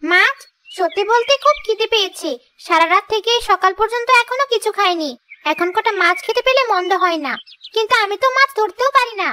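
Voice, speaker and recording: Someone voicing a very high-pitched greedy female ghost. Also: animated, fairly quick, bright, forceful tone, heavily acted. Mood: hungry, grumbling. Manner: gloating, menacing, talks to herself. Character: greedy scheming female river ghost, hungry for fish. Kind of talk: animated children's ghost story acting. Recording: music behind the voice.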